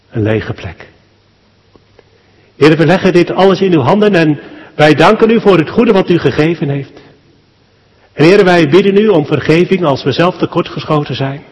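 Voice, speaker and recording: A man, a pastor, leads a solemn public prayer at a church service in short, measured phrases with deliberate pauses. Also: fairly high pitch, darkish tone. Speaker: pastor leading a funeral service. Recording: long church hall reverb.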